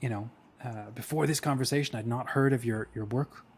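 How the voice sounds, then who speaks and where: Man hosting, mid-pitched voice. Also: fairly quick, breathy edge. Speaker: interview show host. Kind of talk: conversational hosting with prepared introduction.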